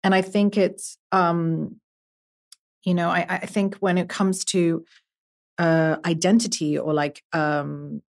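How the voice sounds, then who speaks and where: A woman's voice: fairly low pitch, little pitch movement, slow pace, creaky. A young woman, a guest artist, talking thoughtfully on a podcast, with many fillers and restarts. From half Emirati. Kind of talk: podcast conversation.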